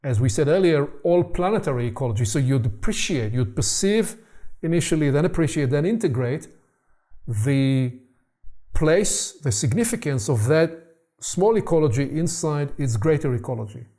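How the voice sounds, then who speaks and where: Mellow-voiced Israeli-born man, reflective. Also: on the high side, lively intonation. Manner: slow, searching, repeats words as he thinks. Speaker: host. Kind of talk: reflective conversation on personal development.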